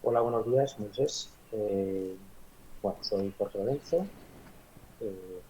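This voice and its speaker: A man's voice: lowish voice, takes it slowly, mellow, a little hiss or hum, poor audio. A man in his forties from Madrid, a basketball coach answering interview questions thoughtfully, with many hesitations and restarts. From Madrid, Spain. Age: 40s. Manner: reflective, frequent hesitations and restarts.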